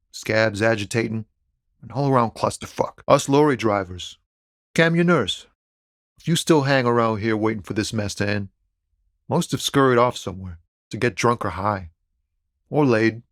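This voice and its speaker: An actor playing a laid-back, philosophical lorry driver and amateur poet in a video game, talking in a wry, easygoing, slangy way. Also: male voice, medium pitch, no room sound, clean studio sound, natural voice. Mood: wry, musing. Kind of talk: video game character dialogue.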